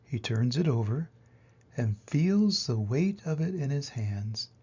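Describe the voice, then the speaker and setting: An older man reading a children's picture book aloud in an expressive way, with dramatic pauses and emphasis. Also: medium pitch, breathy edge, lo-fi sound. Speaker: reader of children's picture books. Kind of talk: animated storybook read-aloud.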